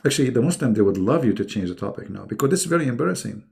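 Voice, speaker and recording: A man's voice: mid-range voice, animated, rapid-fire, gravelly, darkish tone. A middle-aged man who speaks English as a second language hosts a live online debate in a mocking, emphatic, sarcastic way. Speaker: debate host.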